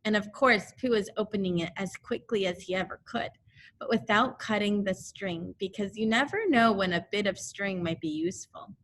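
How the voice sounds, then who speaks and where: A woman reading a children's storybook aloud as a teacher would, with deliberate, careful and expressive phrasing. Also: medium pitch, slight background noise. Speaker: primary school teacher.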